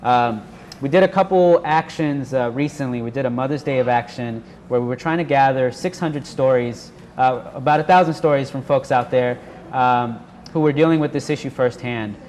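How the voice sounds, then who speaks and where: A man's voice: mid-range voice, normal speed, darkish tone. A man giving an informal workshop presentation as an activist speaker in a live room. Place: indoor workshop room with an audience. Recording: noisy live room recording.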